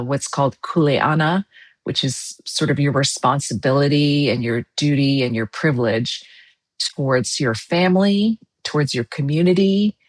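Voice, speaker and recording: A woman from Hawaii as a podcast guest, telling her life story quickly and thoughtfully. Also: deep voice. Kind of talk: interview storytelling.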